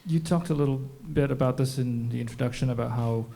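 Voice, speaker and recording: A man moderating a post-screening Q&A, asking long, measured questions with the occasional filler. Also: medium pitch, creaky, dark tone.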